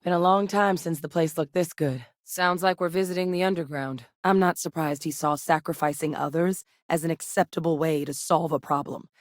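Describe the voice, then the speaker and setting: A composed middle-aged woman played by a voice actress with a low-pitched voice, recorded very dry. She is an earnest scientist and war veteran in a space video game, articulate and measured in her delivery. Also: steady tone, no echo, close to an everyday voice. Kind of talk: scripted video game dialogue.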